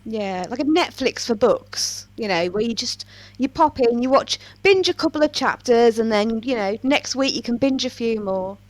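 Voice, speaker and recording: A woman's voice: fairly high pitch, animated, normal speed. A woman hosting a podcast, chatting casually in a playful, self-aware way. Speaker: podcast host.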